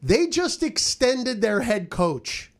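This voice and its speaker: A man's voice: very high-pitched, sing-song, slow pace. A man speaking as a sports radio commentator in opinionated roundtable talk, stressing his points emphatically with repeated words.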